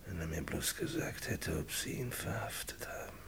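Gravelly, whispering weak sickbed patient. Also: male voice, sits low, expressive. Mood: brooding, regretful. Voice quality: breathy, croaky half-whisper. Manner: halting, feeble. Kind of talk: 1950s-80s German radio crime play.

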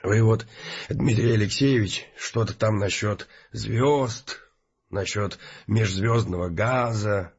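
A man playing a soft-spoken, insinuating tea lover in an audio drama, coaxing in drawled, halting phrases. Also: on the low side, husky, dull audio, exaggerated voice. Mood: cosy, insinuating. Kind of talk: scripted audio drama dialogue.

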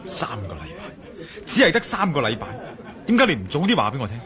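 A man's voice: fairly high pitch, wildly swooping pitch, fast, a bit bright, a faint crowd. A man acting as a worldly, teasing adventurer in a radio drama, with a Hong Kong Cantonese accent and an emphatic, bantering delivery. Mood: urgent, anxious. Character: worldly, teasing adventurer, urgent when crossed.

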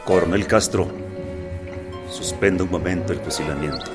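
A man's voice: low-pitched, normal speed, over music, lightly acted. A man playing a curt, cold colonel commanding a firing squad in a radio drama.

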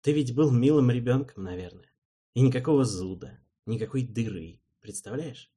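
Man reading a patient young king, soft, soothing, husky. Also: medium pitch, lively intonation. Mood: gentle. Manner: quiet, soothing, measured. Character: calm young male rightful king, patient.

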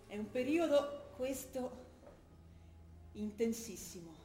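A woman's voice: fairly high pitch, expressive, slow pace. An actress playing a defiant young militant woman from who reads her letters and argues with her father. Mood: earnest. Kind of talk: stage play broadcast on radio. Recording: echoey stage recording with music.

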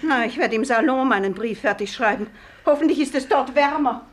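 An actress playing a stern, haughty, complaining middle-aged hotel guest, disdainful and clipped, in a 1950s radio play. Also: female voice, sits high, fairly quick. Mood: peevish. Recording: noisy old archive radio recording.

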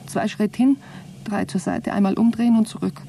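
Female actor for sardonic female astronaut, radio satire. Dry, severe, weary, dark-mellow tone, faint noise behind. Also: mid-range voice, fairly even intonation, normal speed, vocal fry, lightly acted. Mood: weary, resigned. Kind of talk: satirical science-fiction radio drama acting.

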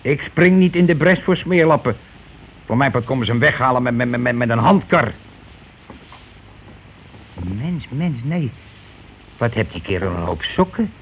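An actor playing a blunt, self-righteous working-class husband in a radio play, grumbling, bossy and rather pompous. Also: male voice, mid-range voice, lively intonation, normal speed, pauses often, natural voice. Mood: scornful. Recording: noisy old radio play recording.

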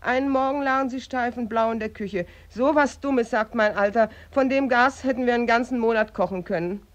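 An actress playing a blunt, gossipy working-class housewife in a radio drama, chatty and flippantly matter-of-fact. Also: female voice, on the high side, a little fast, lo-fi sound, natural voice. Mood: flippant, callous. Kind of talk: German radio drama acting.

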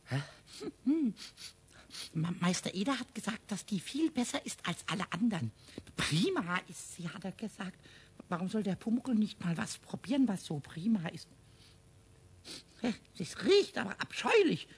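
A man playing a cheeky little male kobold in a children's radio play, with a shrill, raspy, squeaky voice, stammering and repeating words in a childlike, whiny way. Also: very animated, moderate pace, exaggerated voice. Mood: curious, scheming.

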